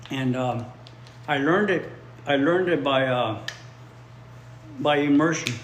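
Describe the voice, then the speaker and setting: A man's voice: mid-range voice, slow, lo-fi sound, slight background noise. A man whose first language is Spanish, teaching English and chatting casually with viewers on a livestream, often repeating his phrases for learners. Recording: roomy echo, faint ambience.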